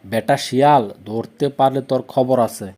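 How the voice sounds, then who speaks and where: A big, boastful cartoon tiger, acted by a man, full of angry bluster. He sounds threatening and sure of his own strength, with a mid-pitched male voice. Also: moderate pace, warm tone, natural voice. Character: angry, boastful, slow-witted strong tiger. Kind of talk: children's cartoon character acting.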